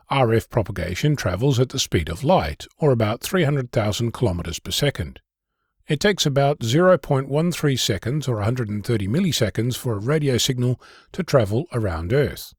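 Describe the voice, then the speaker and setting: A man hosting an amateur radio program, reading scripted explanations in a measured, precise way. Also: medium pitch, husky, dry recording, clean studio sound. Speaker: amateur radio podcast host. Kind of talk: scripted narration.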